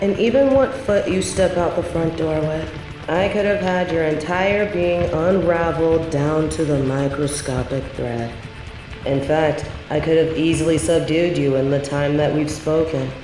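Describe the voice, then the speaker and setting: A woman's voice: fairly low pitch, measured pace, echoey room, music in the background, natural voice, poor audio. A woman playing a smug, bored sorceress turned journalist in an audio drama, with a sardonic, condescending way of talking. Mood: smug, dismissive. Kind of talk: audio roleplay drama acting.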